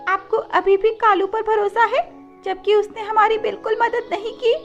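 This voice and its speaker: A woman's voice: very high voice, flat delivery, normal speed, lo-fi sound, soft background music, pitched above the performer's own voice. A woman voicing a small, trusting girl bird who talks in a plaintive, childlike way in a cartoon. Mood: worried.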